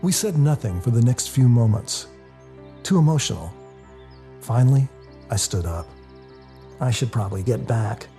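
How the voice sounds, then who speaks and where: A man narrates a first-person story in a confessional, expressive way. His voice is dark and mellow with a heavy gravelly fry, and soft music plays underneath. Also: mid-range voice, normal speed, long pauses. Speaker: author reading their own novel.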